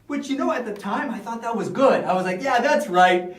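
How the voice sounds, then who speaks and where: A man playing a boastful, chatty ancient Egyptian chief magician, telling a Bible story in animated, casual modern speech. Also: very high voice, a little fast. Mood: smug, boastful. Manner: animated, conversational, acting out the other characters. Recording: echoey room, far from the mic.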